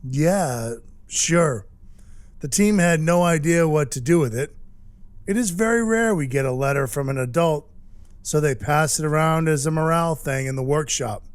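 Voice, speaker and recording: A man playing a scruffy, apologetic Christmas dwarf in an audio drama, telling his story in a halting, folksy, sincere way. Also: fairly high pitch, clear, resonant tone, dry recording, lightly acted. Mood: sincere, chatty. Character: male Christmas dwarf turned Santa, scruffy, apologetic, sentimental. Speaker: actor in an audio play.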